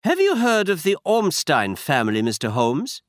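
A man's voice: a little high, huge pitch swings, normal speed, dry recording, studio quality, voice pushed up. A man reading a story aloud and voicing a large, masked, anxious foreign nobleman who speaks with a German accent in a stiff, formal manner.

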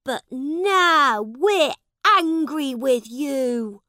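A woman reading a storybook voices a group of schoolboys who mock and shout in a loud, high voice. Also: animated, drawn-out words, no echo, clean studio sound, exaggerated voice. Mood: jeering. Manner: jeering, loud.

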